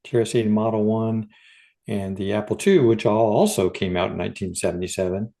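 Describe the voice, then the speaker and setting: Gravelly, mellow man in casual podcast chat. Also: fairly low pitch, steady tone, fairly quick. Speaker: podcast co-host. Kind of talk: casual unscripted conversation.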